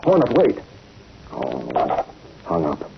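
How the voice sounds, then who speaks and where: A crooked boss squeezed by blackmail in a vintage radio crime drama. His delivery is animated and mid-pitched, and the old recording is muffled and noisy. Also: slow, gravelly, heavily acted. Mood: agitated. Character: gangland boss, crooked, rattled man being blackmailed. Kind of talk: 1930s-40s radio crime drama acting.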